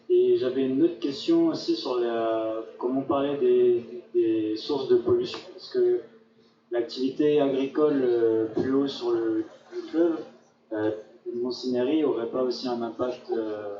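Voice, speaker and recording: A man in the audience asking a question haltingly, searching for words. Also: mid-range voice, fairly even intonation, mellow. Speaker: audience member. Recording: dull roomy sound.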